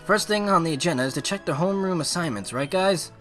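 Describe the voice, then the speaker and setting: A man live-streaming a game, giving excitable, casual, slangy commentary and reading on-screen text aloud. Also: sits high, fast talker, faint music behind.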